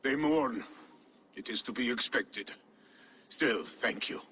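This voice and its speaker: An actor playing a grieving Viking steward who speaks quietly and wearily in a game cutscene. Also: male voice, mid-range voice, slow pace, a little hiss or hum. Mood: mournful. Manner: weary, mournful, quiet.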